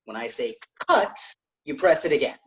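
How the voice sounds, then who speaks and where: Bossy, animated teen boy director. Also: fairly high pitch, moderate pace, gravelly, heavily acted. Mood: bossy, earnest. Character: bossy, earnest teenage boy amateur film director. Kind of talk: sitcom script table read. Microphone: video call.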